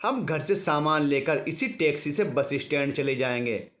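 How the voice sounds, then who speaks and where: Man voicing a worried, animated elderly husband. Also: fairly high pitch, moderate pace. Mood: hesitant, affectionate. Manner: anxious, tender, hesitant.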